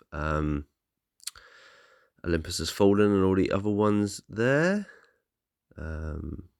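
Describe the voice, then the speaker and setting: A man with a slightly hoarse, croaky voice, giving a casual, meandering, apologetic voice-over commentary as a vlogger. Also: sits low, fairly bright tone, no room sound, clean studio sound. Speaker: vlogger, film collector.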